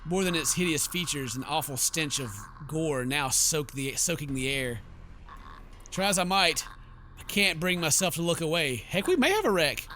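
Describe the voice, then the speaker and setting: A man's voice: a little high, pushed and bright, slight background noise. A man doing let's play commentary, reading game text aloud and tossing in joking, theatrical asides. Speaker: gaming YouTuber.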